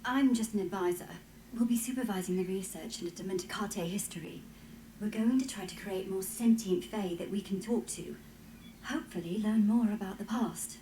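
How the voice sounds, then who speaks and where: A woman playing a composed, matter-of-fact scholarly advisor, measured and explanatory, in a fantasy video game. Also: medium pitch, mellow, reverberant, a little hiss or hum, lightly acted, low-quality recording. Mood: composed, explaining.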